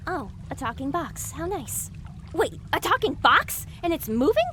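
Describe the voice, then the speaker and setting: An anxious young female pony played by a woman in an audio drama. Her voice is quite high and very lively in pitch, with exasperated, sarcastic asides muttered to herself. A faint bed of ambient noise sits behind her. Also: unhurried, heavily acted. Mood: bemused.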